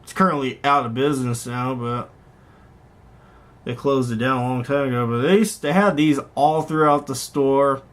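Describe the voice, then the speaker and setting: A man's voice: medium pitch, fairly bright tone. Casual, rambling man talking through listings. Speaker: hobbyist vlogger, host. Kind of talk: casual unscripted show-and-tell commentary on online listings.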